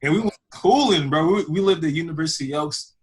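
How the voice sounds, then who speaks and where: A man's voice: on the high side, lively intonation, a little fast, low-quality recording. A young man hosting a podcast, chatting casually and rambling with lots of fillers. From Houston, Texas. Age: young adult. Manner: rambling, full of fillers like "you know". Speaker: podcast host. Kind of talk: casual chat.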